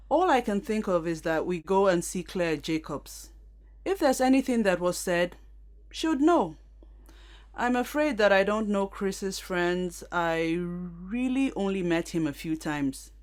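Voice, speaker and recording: A woman playing a composed, supportive businesswoman in an audio drama, measured, polite and matter-of-fact. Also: on the low side, darkish tone.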